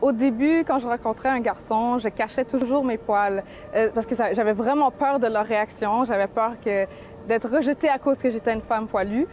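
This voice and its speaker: A woman's voice: a little high, moderate pace, a little mellow, highs cut off, soft background music. A young woman in her twenties with a Québécois accent gives an earnest personal testimony, with frequent hesitations. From Montreal, Canada. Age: 20s. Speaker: activist and painter. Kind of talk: personal testimony in a video clip.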